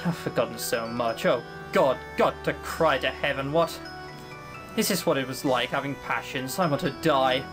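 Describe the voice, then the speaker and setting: A bitter, centuries-old fiend, played by a narrator in an audio roleplay, musing with cruel mockery one moment and spiralling into anguish the next. His voice sits at a middling pitch. Soft music plays faintly underneath. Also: natural voice. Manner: brooding, mocking, swings to anguished outbursts. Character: ancient male fiend trapped in a circle, lonely, bitter.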